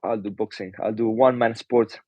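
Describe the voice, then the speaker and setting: Romanian-born boxer, 20s, mellow hesitant talker, muffled dry audio. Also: male voice, on the low side, steady tone, fairly quick, no room sound. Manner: hesitant, lots of "uh" and "do you know". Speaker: pro boxer guest. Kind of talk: casual interview answers.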